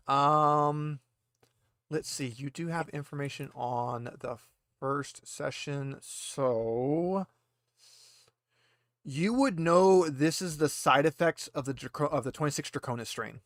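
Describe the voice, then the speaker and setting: A man narrating a tabletop roleplaying game as game master in a halting, conversational way. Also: on the high side, fairly bright tone, no echo, studio quality.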